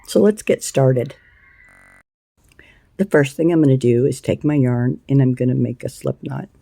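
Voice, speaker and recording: A woman with a scratchy voice hosting a casual crochet tutorial, chatty and unhurried, with plenty of ums and uhs. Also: extremely low pitch, creaky, dark tone, dry recording. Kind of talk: casual hands-on craft tutorial.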